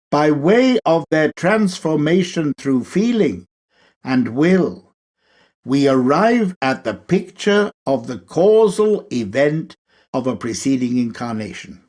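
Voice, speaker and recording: Male lecture reader: unhurried delivery, lively melodic swings, measured emphasis on key phrases. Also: medium pitch. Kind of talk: read-aloud spiritual lecture.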